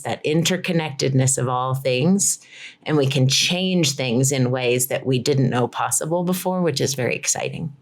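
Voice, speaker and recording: A woman from Colorado, a physical therapist, explaining her work enthusiastically in a conversational interview over a video call. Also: very deep voice. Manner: enthusiastic, explanatory, uses "like" often.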